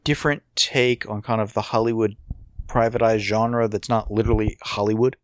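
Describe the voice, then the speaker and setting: A man's voice: fairly low pitch, steady tone, moderate pace, no echo. A man co-hosting a casual review podcast, explaining his thoughts analytically and at length. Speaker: podcast co-host.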